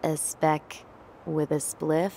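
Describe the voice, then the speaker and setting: A woman's voice: deep voice, level tone, slow pace, gravelly, dark tone, a little hiss or hum, full character voice, low-quality recording. An actress playing a dry, guarded, teasing young American woman in naturalistic video game dialogue. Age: young adult.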